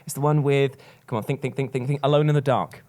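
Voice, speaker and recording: A man's voice: medium pitch, fairly even intonation, fast, a little mellow. A man hosting a casual film podcast chat in a theatrical, jokey, exclaiming manner. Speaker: podcast host. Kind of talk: casual group podcast chat about films.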